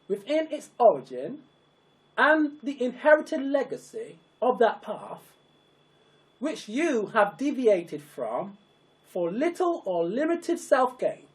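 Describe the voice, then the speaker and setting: A narrator reads a formal public address very slowly. The pitch moves widely from phrase to phrase. The delivery is solemn and emphatic, with sentences broken into short, weighty pieces. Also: a little mellow, low-quality recording. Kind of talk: scripted, declamatory public address.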